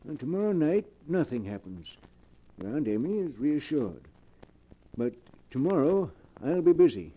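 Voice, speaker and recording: An actor playing a sly old scheming professor with a husky voice, in 1940s radio drama style. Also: male voice, fairly high pitch, big pitch swings, moderate pace, dull audio. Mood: gloating, conspiratorial. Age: older.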